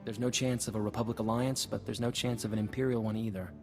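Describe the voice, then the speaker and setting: A man's voice: fairly low pitch, fairly even intonation, quick pace, natural voice. Man playing a pragmatic diplomat over music. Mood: diplomatic. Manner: diplomatic, matter-of-fact. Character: pragmatic male Republic diplomat, polite and measured. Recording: music behind the voice.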